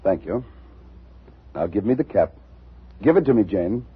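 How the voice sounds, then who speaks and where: An actor playing a calm, reassuring male doctor in a 1950s radio drama, speaking in a measured way. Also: fairly low pitch, slightly rough, full character voice. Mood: soothing. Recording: dull, noisy old radio recording.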